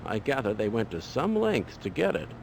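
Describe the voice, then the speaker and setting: An actor playing a stern research staff member in a video game, speaking formally and seriously. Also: male voice, fairly low pitch, huge pitch swings, moderate pace, gravelly, slight background noise, full character voice. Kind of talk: video game character dialogue.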